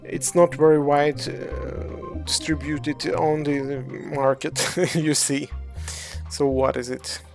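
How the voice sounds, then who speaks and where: A speaker from Ukraine with a Ukrainian accent, a game developer casually walking through models on a livestream and answering chat in halting English full of hesitations. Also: mid-range voice, flat delivery, vocal fry, music in the background. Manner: halting non-native English, frequent hesitations.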